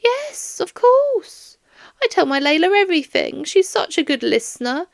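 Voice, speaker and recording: A woman's voice: extremely high pitch, big pitch swings, measured pace, vocal fry, no echo, studio quality, voice pushed up, full character voice. A woman voicing a flustered, doting older woman who works at a museum, in a rambling, plaintive and baffled manner, for an audiobook. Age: 60s.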